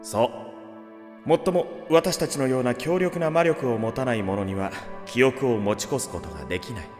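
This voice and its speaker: Audio drama father role: gentle, knowing older-generation man, measured, lively melody, drenched in reverb. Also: medium pitch. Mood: solemn, gentle. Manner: measured, explanatory. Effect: heavy echo, long reverb. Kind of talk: Japanese anime audio drama acting. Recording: echoing long reverb over music.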